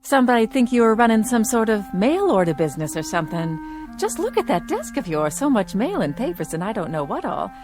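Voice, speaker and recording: A woman's voice: a little high, sing-song, brisk, music in the background. A woman acting in a radio drama as a nosy, indignant widowed landlady in her fifties, plain-spoken and huffy. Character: nosy, indignant fifty-four-year-old widowed landlady.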